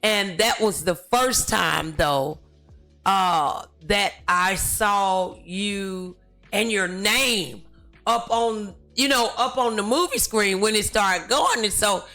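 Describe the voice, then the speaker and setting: A woman chats as a talk show host. Her voice is bright and crackles with vocal fry. She rambles in a folksy, familiar way, with soft music behind her. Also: mid-range voice, takes it slowly. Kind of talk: casual interview chat.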